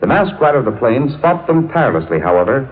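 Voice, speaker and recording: A man's voice: medium pitch, big pitch swings, fast. A man giving stirring, declamatory scripted narration for a 1940s radio western. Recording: thin, phone-like vintage radio recording.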